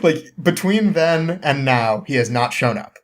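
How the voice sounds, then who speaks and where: A young man with a mid-pitched voice talks as a podcast guest, recounting a story in a loose, rambling way. His delivery is informal and often breaks into chuckles. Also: expressive, a little slow. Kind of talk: casual chat, rambling story recap.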